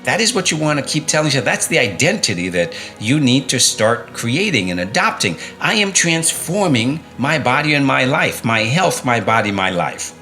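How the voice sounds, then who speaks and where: A man's voice: mid-range voice, very animated, moderate pace, hardly any pauses, bright, forceful tone, faint music behind. A middle-aged man coaching viewers on fitness and mindset in an emphatic motivational talk, repeating key phrases and asking rhetorical questions.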